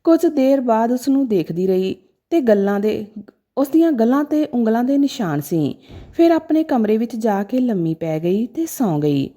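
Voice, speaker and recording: A woman's voice: on the high side, very animated, moderate pace, warm tone. A woman narrating a scripted, sentimental story in a dramatic storytelling style.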